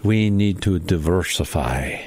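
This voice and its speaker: Man playing a bossy, animated leprechaun chairman. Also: deep voice, measured pace, breathy edge, no room sound, voice pushed down, heavily acted. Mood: pompous, businesslike. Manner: pompous, exasperated formal address. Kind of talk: comic radio play acting.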